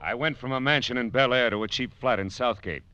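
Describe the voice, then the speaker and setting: A man's voice: medium pitch, fairly quick, heavy background noise, close to an everyday voice. A man playing a wry, hardboiled private detective in 1940s radio drama style. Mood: punchy, hardboiled. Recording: dull vintage radio recording.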